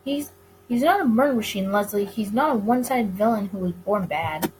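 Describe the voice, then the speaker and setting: A woman's voice: medium pitch, animated, a little fast, darkish tone, a little hiss or hum, natural voice, poor audio. Woman playing earnest, compassionate male detective. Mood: defensive.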